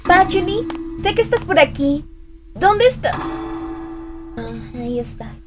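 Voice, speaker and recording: A woman's voice: very high-pitched, very animated, measured pace, over music, heavily acted. A woman playing a blunt, hot-headed young shrine maiden in an anime fandub, with a Latin American Spanish accent. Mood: demanding. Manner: blunt, demanding.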